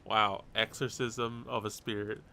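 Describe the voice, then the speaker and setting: A man's voice: fairly low pitch, little pitch movement, a little slow, vocal fry, crowd noise. A man live-streaming a game, reacting and chatting casually in slangy, sweary, exasperated gripes into a close mic.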